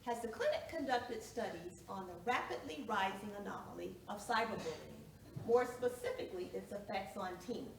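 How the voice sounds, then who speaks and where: A woman's voice: medium pitch, animated, normal speed, creaky. A woman playing a formal lawyer in a courtroom stage drama, putting probing questions to a witness. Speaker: actor in a one-act play. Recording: echoey room, distant mic, noisy.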